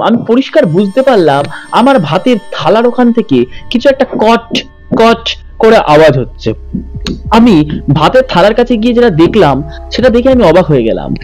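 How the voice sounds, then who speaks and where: High-pitched, animated male storyteller. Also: poor audio. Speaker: host and narrator of a horror story channel. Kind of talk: dramatic ghost story narration. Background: background music.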